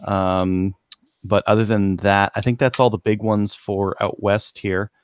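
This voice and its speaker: A man's voice: lowish voice, little pitch movement, unhurried, no echo, clean studio sound. A man with a Canadian accent co-hosting a podcast in casual chat. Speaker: podcast co-host.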